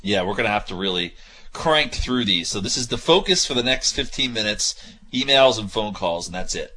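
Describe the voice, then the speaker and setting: Male radio co-host, husky and bright, animated and teasing. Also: lowish voice, fairly quick. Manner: lively, ribbing. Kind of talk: casual sports radio banter.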